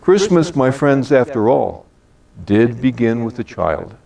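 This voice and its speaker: An older man in his late sixties or beyond speaks as a practiced storyteller. His pitch rises and falls a great deal, and his phrasing is measured and deliberate. The microphone sounds some way off from him. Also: gravelly, distant mic. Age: late 60s or older. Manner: measured, deliberate, expressive delivery with drawn-out phrases. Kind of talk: rehearsed personal holiday storytelling to an audience.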